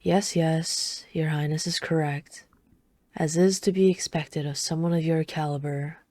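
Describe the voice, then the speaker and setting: A woman acts out a reserved knight in a fantasy ASMR roleplay. Her voice is deep and velvety, recorded close and dry, with formal, wry restraint. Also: steady tone, a little slow, mellow, dry recording. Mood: dry teasing. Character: reserved, dutiful female knight, dryly scolding royal lover.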